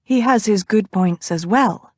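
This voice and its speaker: A woman reading an audiobook, voicing a composed, restrained young lady in a measured tone. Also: mid-range voice, darkish tone, no room sound, studio quality, close to an everyday voice.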